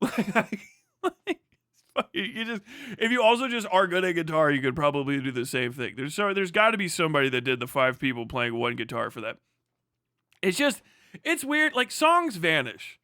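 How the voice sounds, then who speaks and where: A man's voice: a little high, sing-song, moderate pace, pushed and bright, dry recording, clean studio sound. A man hosting a livestream, rambling casually with plenty of swearing and joking, exaggerated rants. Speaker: livestream host.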